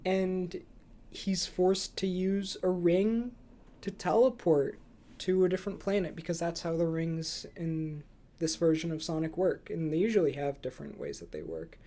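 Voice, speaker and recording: A man's voice: on the high side, moderate pace, warm tone. A young man hosting a casual movie review podcast, chatting with dry, flippant humour. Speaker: podcast host.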